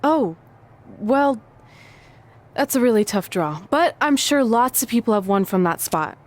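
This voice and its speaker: A woman's voice: on the high side, expressive, unhurried. A woman playing a confident, supportive young wrestler in video game cutscene dialogue.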